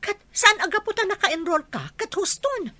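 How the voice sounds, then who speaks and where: A woman's voice: very high voice, very animated, normal speed, sharp tone, dry recording, exaggerated voice. A woman acting an earnest, admonishing mother in an Ilocano radio drama, full of questions, with an Ilocano accent. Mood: scolding. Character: earnest scolding mother, loyal to her husband's earnings.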